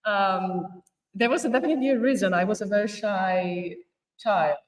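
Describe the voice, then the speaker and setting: A woman's voice: mid-range voice, normal speed, reverberant, recorded from a distance, low-quality recording. A woman from Poland, a podcast guest talking casually about her life, laughing often and searching for words. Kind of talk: casual interview chat.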